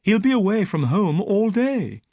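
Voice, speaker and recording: A high, pleading young woman's voice, anxious and lively in its rises and falls, put on by a man narrating. The sound is dry and close. She speaks slowly, as if still shaken. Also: dry recording, heavily acted. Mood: fearful. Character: frightened young woman telling her story.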